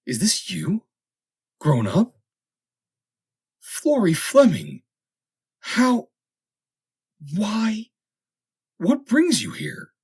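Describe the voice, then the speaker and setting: Wounded navy lieutenant , played by a male narrator: proud, pained, agitated, wide pitch swings, husky edge. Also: wildly swooping pitch, extremely slow, pauses often, darkish tone, heavily acted. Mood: shocked, choked. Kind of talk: dramatic audiobook character voice.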